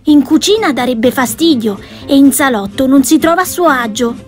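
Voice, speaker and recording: A woman voicing a cheerful, boastful little girl who tells wild tales, in a lively, exclaiming way. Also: sits high, moderate pace, soft background music, voice pushed up.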